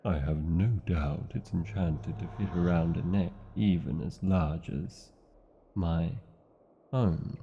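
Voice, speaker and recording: A man's voice: low-pitched, slow, gravelly, muffled recording, slight background noise, heavily acted. A British man playing a proud, tender dragon lover in a fantasy audio romance, speaking in formal, old-fashioned, theatrical phrases. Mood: proud, intimate. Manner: formal, archaic, theatrical phrasing with tag questions. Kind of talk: fantasy ASMR roleplay, slow intimate acting.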